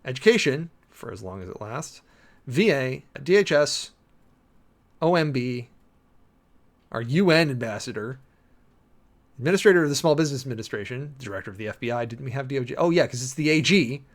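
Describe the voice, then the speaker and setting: A man's voice: medium pitch, lively intonation, brisk, long pauses. A man reading aloud dramatically and breaking off into informal, opinionated commentary, with an emphatic, theatrical manner and frequent asides. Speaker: host, preacher giving commentary.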